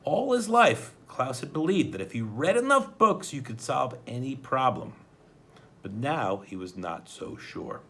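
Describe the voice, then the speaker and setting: Animated, bright-voiced male storybook reader. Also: mid-range voice, measured pace. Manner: dramatic, with stumbles over words. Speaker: storybook reader, school principal. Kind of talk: expressive children's read-aloud narration.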